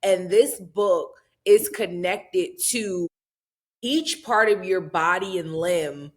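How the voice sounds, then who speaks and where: A woman with a somewhat low voice hosts a casual interview. She affirms her guest and builds long, winding questions. Her delivery is relaxed and conversational. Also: slow pace. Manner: affirming, rambling follow-up questions. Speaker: podcast host.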